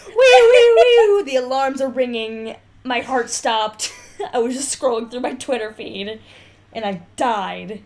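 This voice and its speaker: A woman's voice: on the high side, lively intonation, measured pace, darkish tone. A young woman co-hosting a casual podcast, irreverent and given to exaggeration, swearing freely and often laughing as she talks. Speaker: podcast co-host. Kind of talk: casual, bantering podcast chat.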